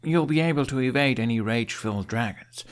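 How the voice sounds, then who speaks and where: A man's voice: mid-range voice, brisk, voice pushed up, close to an everyday voice. A boyish young dragon rider as a male audiobook reader plays him speaks with easy confidence. He is earnest and reassuring, like someone sure of his plan in a storybook adventure. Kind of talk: audiobook character dialogue.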